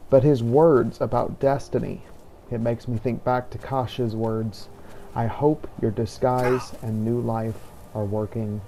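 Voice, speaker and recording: A man's voice: mid-range voice, creaky, mellow, poor audio. A man hosting a game playthrough, reading scripted role-play narration as a dramatic storyteller. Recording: faint background noise.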